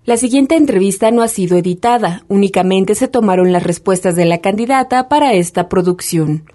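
A woman's voice: medium pitch, a little fast, mellow. A woman reading scripted narration for a radio news profile.

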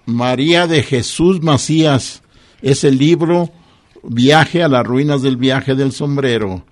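A man's voice: medium pitch, slow pace. A man, a co-host reading out listener messages and raffle winners in a matter-of-fact way.

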